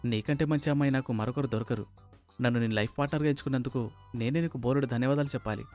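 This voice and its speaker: A man's voice: mid-range voice, racing, darkish tone, soft background music, close to an everyday voice. A man voicing an earnest young son who is about to marry, in a story. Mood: sincere.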